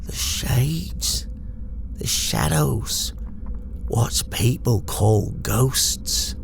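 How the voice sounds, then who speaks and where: A man voicing a wary, superstitious old village woman with a rustic English accent, muttering ominously in slow folk dialect for a scary story. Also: mid-range voice, lively intonation, gravelly, slight background noise, voice pushed up, full character voice. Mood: hushed, secretive.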